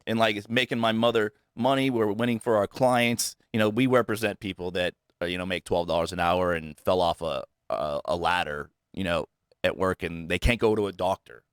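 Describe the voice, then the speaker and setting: A man with a very low, deep voice speaks candidly in an interview. His talk comes in halting bursts, full of restarts and repeated words, earnest and self-critical. The sound is dry and close, with no room around it. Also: clean tone, no room sound. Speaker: guest, realtor.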